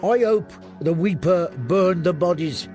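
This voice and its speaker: A man's voice: high-pitched, unhurried, darkish tone, soft background music, voice pushed up. A man reading an audiobook, voicing a dour, gloomy guard with a morbid turn of phrase. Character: dour, gloomy man of the watch, darkly wry.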